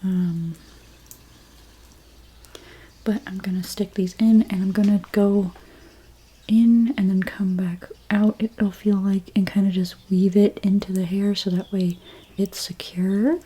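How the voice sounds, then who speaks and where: A woman whispering in an ASMR role play as a hairstylist, gently talking through each step in a breathy, mostly unvoiced voice. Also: mid-range voice, steady tone. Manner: gentle, unhurried, step-by-step personal attention. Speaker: ASMR creator playing a hairstylist.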